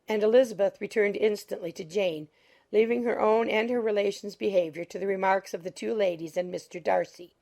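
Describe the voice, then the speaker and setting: Creaky-voiced woman narrating an audiobook. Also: medium pitch, darkish tone. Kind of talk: scripted literary narration.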